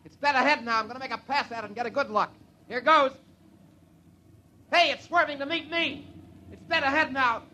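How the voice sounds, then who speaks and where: A man acting a daring, excitable test pilot in a 1950s radio drama, giving clipped cockpit reports. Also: very high-pitched, a little fast, long pauses, heavily acted. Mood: excited, alarmed. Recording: noisy 1950s radio recording, engine drone.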